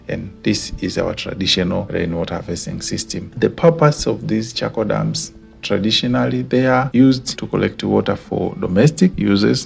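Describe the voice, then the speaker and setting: A low-voiced man from Tanzania narrates a slideshow lesson in an earnest, explanatory way. His voice carries a heavy gravelly fry. Faint music plays underneath. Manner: earnest, explanatory, repeats points for clarity. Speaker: educator explaining water engineering.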